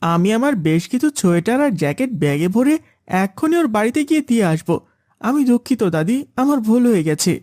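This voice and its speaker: A woman's voice: fairly high pitch, sing-song, fast, darkish tone, no room sound, studio quality. A woman voicing a proud, pampered young boy in a moral cartoon, with a childlike, self-assured manner. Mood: remorseful. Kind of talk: Islamic moral cartoon dialogue.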